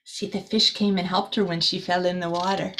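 A middle-aged woman reading a children's storybook aloud as a teacher, expressive and deliberate, with small asides to the listeners. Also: on the low side, a little hiss or hum, poor audio. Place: small room indoors.

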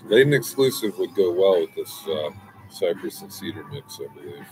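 A man's voice: sits low, lively intonation, moderate pace, over music, poor audio. A man in his forties giving a casual, meandering fragrance review outdoors, with lots of restarts and asides, on a close webcam. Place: outdoors by a brick wall, smoking.

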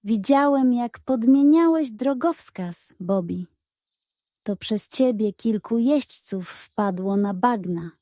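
A woman voicing a stern, upright male horse sheriff in a children's Western story, grave and measured. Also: fairly high pitch, warm tone, dry recording, studio quality. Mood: accusing.

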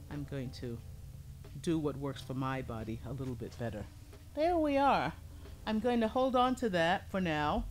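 A middle-aged woman with a low voice teaching a hands-on pottery lesson in a studio, explaining each step calmly as she works. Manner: calm, explains step by step while working with hands. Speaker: ceramics teacher.

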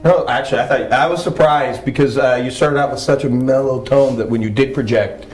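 A man's voice: mid-range voice, steady tone, fairly quick. A man chatting casually in a small bar, enthusiastic and generous with compliments. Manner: enthusiastic, complimentary, talks at length. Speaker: musician guest. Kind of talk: casual chat. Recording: echoey bar with music.

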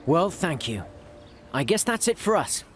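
Sarcastic fantasy adventurer, dry wit, lively pitch, faint game music. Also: female voice, unhurried. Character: sardonic female adventurer party member. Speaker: game character.

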